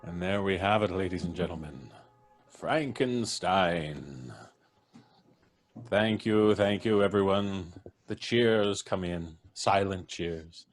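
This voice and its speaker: Deep-voiced man hosting a live stream. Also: slow pace, soft background music. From Canada. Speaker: podcast host.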